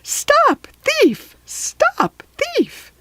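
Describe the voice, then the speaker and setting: Woman voicing a very high, shrill enchanted harp. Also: wildly swooping pitch, drawn-out words, dry recording, heavily acted. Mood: shrill alarm. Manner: calling out loudly in alarm.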